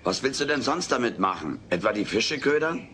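A man's voice: medium pitch, very animated, fairly quick, close to an everyday voice. A man voicing a cheeky, sarcastic, furry alien houseguest in an audio drama. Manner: sarcastic, brash. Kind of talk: dubbed sitcom audio drama. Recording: noisy old cassette audio drama.

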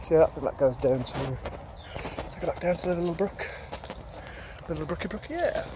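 A man's voice: on the high side, normal speed. A man vlogging casually as he walks through woods, filming on a handheld phone and thinking aloud. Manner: rambling, relaxed, thinking aloud. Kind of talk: casual walking commentary. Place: woodland outdoors. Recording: outdoor phone audio with loud noise. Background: traffic noise.

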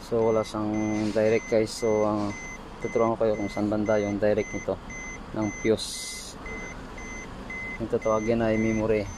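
A man's voice: fairly low pitch, completely flat delivery, measured pace, pauses often. A man with a Filipino accent giving a casual hands-on tutorial, repeatedly addressing his viewers. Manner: repetitive, addresses viewers often. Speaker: car repair vlogger. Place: inside a parked car. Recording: noisy car interior with beeps.

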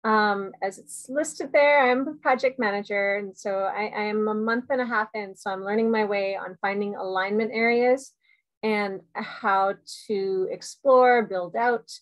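A woman's voice: mid-range voice, poor audio. A woman introducing herself as a new project manager, thoughtful and a little searching for words, over a video call. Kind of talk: casual self-introduction.

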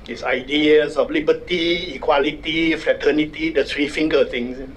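A man's voice: a little high, steady tone, fairly bright tone, slight background noise, rough recording. Older Thai man giving a public lecture. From Thailand. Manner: wry, measured, self-deprecating humor. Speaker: guest speaker at a public forum. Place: university conference room. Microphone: desk microphone.

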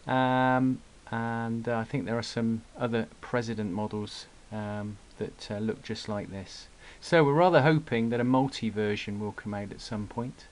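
A man giving an unscripted hobbyist product demonstration in a halting way, with frequent pauses, recorded indoors on a camera mic. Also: medium pitch. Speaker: radio hobbyist presenting gear. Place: indoor room at a radio desk. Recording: clear background noise, clicks.

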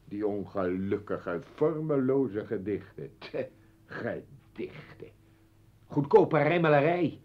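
A scornful intellectual in a radio drama, sneering at a rival. His voice is husky and deliberate. The old recording sounds slightly dull. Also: medium pitch. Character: contemptuous, educated man sneering at a rival. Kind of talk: Dutch radio play acting.

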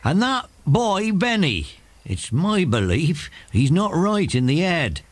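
An actor playing an old, plain-spoken country shepherd in a children's audio drama, slow and full of wonder. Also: male voice, fairly high pitch, big pitch swings, no room sound, heavily acted. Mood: gossiping, wary. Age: older.

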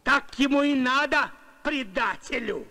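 Squeaky, high fat cartoon pirate. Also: male voice, lively intonation, measured pace, gravelly, bright, forceful tone, full character voice. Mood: gloating, accusing. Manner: whiny, theatrical. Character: fat male space pirate, cowardly, wheedling, sly.

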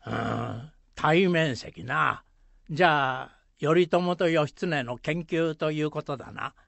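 A man playing a gruff, proud old former village headman with a Shizuoka accent in an amateur radio drama, talking in a rustic, hesitant way. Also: fairly high pitch, fairly bright tone, no room sound, heavily acted. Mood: gruff curiosity. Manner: slow, rustic, grunting hesitations.

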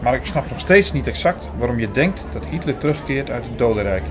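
A man narrating an audio drama in the first person, as an attentive, sceptical storyteller in a measured, bookish manner. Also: mid-range voice, expressive, crowd noise, lightly acted. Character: Hugo, attentive, sceptical male listener and storyteller. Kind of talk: scripted first-person narration and reading aloud. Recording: music under the voice.

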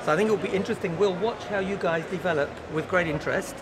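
A middle-aged male host with a somewhat raised voice, upbeat and conversational as he leads the interviews. He was recorded in a big exhibition hall. Steady loud noise sits behind him. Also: sits high, fast. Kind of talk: trade-fair interview. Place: busy indoor exhibition hall.

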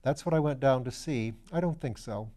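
A man's voice: mid-range voice, normal speed, dark tone, lightly acted. A man voicing a flippant, shrewd aristocratic detective, breezy and assured, in a storybook reading. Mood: urgent, assured. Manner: breezy, self-deprecating, assured.

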